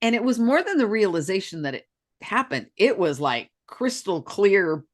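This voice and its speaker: A woman in her sixties co-hosting a podcast, speaking slowly and thoughtfully, often chiming in with short agreement. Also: mid-range voice, very animated. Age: 60s. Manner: slow and reflective, lots of agreeing interjections. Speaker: podcast co-host, spiritual healer. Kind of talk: casual chat.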